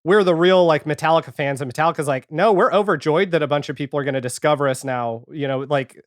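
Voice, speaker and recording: Male podcast guest, slightly high voice, casual and rambling, self-quoting, close dry sound. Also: fast talker, dry recording. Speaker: podcast guest, audio fiction creator and editor.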